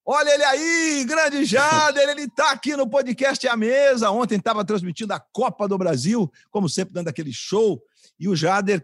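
A husky-voiced middle-aged man with a fairly high pitch hosts a sports chat in a jovial, joking way. The sound is dry and close, with no room around it. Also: dry recording. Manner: jovial, jokes and calls out guests by name. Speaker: podcast host. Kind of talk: hosting a sports podcast.

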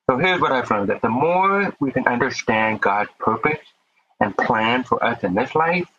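A man's voice: mid-range voice, a bit bright, husky, lo-fi sound. A man with a deaf accent, a podcast guest speaking earnestly about his life, sometimes repeating words as he starts. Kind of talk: casual interview talk.

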